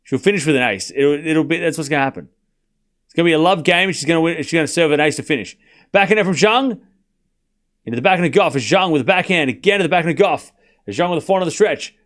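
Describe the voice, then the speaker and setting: An Australian man calls a tennis match live for his stream, rattling off rallies and scores at a quick clip. His voice sits somewhat high for a man. Between points he boasts and teases his chat. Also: animated, fairly bright tone. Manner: teasing, boastful, talks straight to chat. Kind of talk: casual play-by-play commentary to chat.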